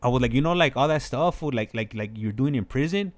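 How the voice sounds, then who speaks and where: An American man shares personal stories in a loose, conversational way. He rambles and circles back, repeating words and leaning on filler phrases as he goes, at a medium pitch. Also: lively intonation, fast. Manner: rambling, repetitive, peppered with "like" and "you know". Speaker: online channel host. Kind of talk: casual chat, telling personal stories.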